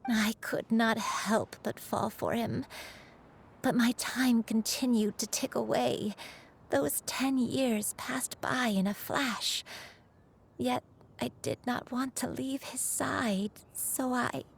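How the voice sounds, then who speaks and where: An actress voicing a gentle elderly grandmother who is secretly a crane spirit, speaking in a soft, often half-whispered, remorseful way. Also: fairly high pitch, creaky, breathy edge, exaggerated voice. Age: older. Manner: measured, remorseful storytelling. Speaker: game character. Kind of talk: video game cutscene voice acting.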